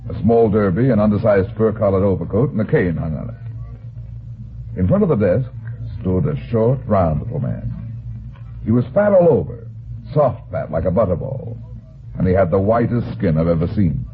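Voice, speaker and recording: An actor playing a wry, blunt private detective in an old radio drama, with a terse, tough, sardonic delivery. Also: male voice, lowish voice, big pitch swings, moderate pace, long pauses, slight background noise. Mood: wry narrating. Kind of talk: old-time radio detective narration and dialogue. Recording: thin, phone-like vintage radio recording.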